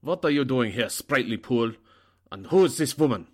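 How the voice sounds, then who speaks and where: A man's voice: medium pitch, lively intonation, moderate pace, lightly acted. A man playing a suspicious, fearful German-American in a 1940s-style radio drama, accusing and agitated.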